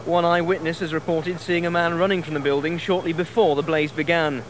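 A man giving a brisk, factual news update. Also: on the high side, flat delivery, background noise. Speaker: news reporter. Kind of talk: scripted news report.

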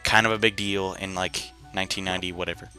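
A young man with a slightly low, very sharp and bright voice hosts a let's play. He rambles casually in slang and drops jokey asides. Faint game music plays underneath him. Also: steady tone, quick pace. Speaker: gaming video host.

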